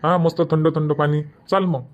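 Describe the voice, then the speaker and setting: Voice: mid-range voice, fairly even intonation, moderate pace, a little hiss or hum, lightly acted. A performer voicing an argumentative male farmer in a comic cartoon, with a rustic Varhadi Marathi accent and grumbling manner. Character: easygoing but argumentative male village farmer husband. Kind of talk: comic cartoon dialogue dubbing.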